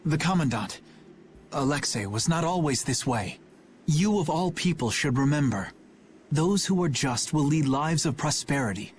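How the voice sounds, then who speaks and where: An actor playing an earnest, dutiful young knight captain in a video game, speaking formally and with solemn restraint. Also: male voice, fairly high pitch, expressive, normal speed, a little hiss or hum, lightly acted. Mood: remorseful. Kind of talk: English video game voice acting.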